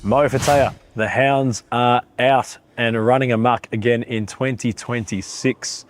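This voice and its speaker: A man's voice: mid-range voice, fairly even intonation, measured pace, background noise. A man hosting sports interviews, asking questions in formal, polished presenter phrasing.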